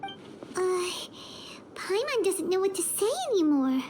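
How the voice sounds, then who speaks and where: A woman voicing a small, childlike fairy companion in a video game, naive and earnest, with a squeaky voice. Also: sing-song, slow pace, darkish tone, slight background noise, exaggerated voice. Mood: dismayed. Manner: speaks of self in third person. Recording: music behind the voice.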